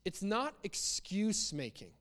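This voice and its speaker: A man delivers a sermon in a notably high voice, with long reverb trailing his words. He talks conversationally yet with emphasis, restating phrases to drive each one in. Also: sing-song, slow, fairly bright tone. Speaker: preacher. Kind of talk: sermon with acted-out example quotes.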